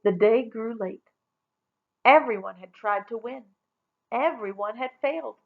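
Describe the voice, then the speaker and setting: A woman reading a children's story aloud in an expressive storytelling style. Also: on the high side, measured pace, creaky, narrow, tinny audio.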